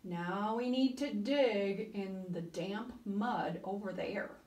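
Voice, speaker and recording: A woman's voice: mid-range voice, slow pace, close to an everyday voice. A woman voicing a patient, kind kangaroo. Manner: encouraging, explaining. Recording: roomy, distant mic, soft cello music.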